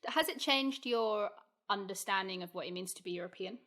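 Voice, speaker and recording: Fast-talking woman interviewer. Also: mid-range voice, fairly bright tone. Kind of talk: podcast interview questions.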